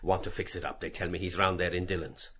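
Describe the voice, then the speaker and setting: A man's voice: deep voice, fairly even intonation, quick pace, bright, forceful tone, husky, exaggerated voice. A man voicing a polite, mild advertising agent in a hurry, courteous and tentative, in a dramatised novel reading. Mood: polite, hurried. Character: polite, mild, hurried adman. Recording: dull, distant recording.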